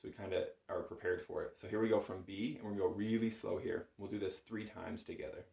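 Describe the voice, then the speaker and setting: Low-voiced man teaching, roomy sound. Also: fairly even intonation, quick pace, gravelly, a little mellow. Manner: patient, encouraging, step-by-step. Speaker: bagpipe instructor. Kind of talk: instructional music lesson, conversational. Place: small home music room, indoors. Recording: roomy indoor echo.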